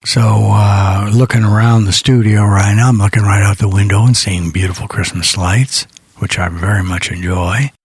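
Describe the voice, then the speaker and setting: A man with a raspy voice hosting a music radio show in a casual, unhurried, folksy, rambling way. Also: lowish voice, fairly even intonation, no echo, clean studio sound. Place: small radio studio.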